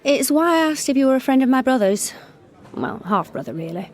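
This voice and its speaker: An actress playing a forthright, wry young Englishwoman in a radio comedy, brisk, teasing and direct. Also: sits high, very animated. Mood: wry, firm. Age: young adult. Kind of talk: radio comedy drama acting.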